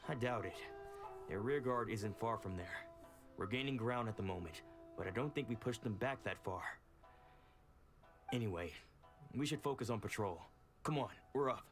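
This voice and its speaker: Skeptical, dutiful male patrol soldier for a game, acted by a man, lowish, over music. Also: moderate pace, long pauses, close to an everyday voice. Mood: matter-of-fact. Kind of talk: video game dialogue.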